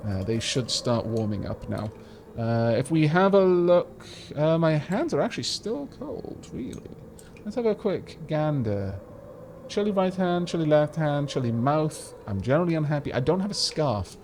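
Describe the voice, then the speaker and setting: A man hosting a let's play, chatting casually and explaining game mechanics with a slight rumble in his voice. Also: sing-song, moderate pace, a little mellow. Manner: chatty, explanatory, frequent restarts and fillers. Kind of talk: casual gameplay commentary.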